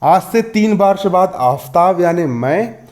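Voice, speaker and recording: A man's voice: high-pitched, expressive, slow, darkish tone. A man in his twenties teaching a school maths lesson step by step, repeating himself, asking questions and answering them himself. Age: 20s. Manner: repetitive, asks and answers own questions, checks understanding.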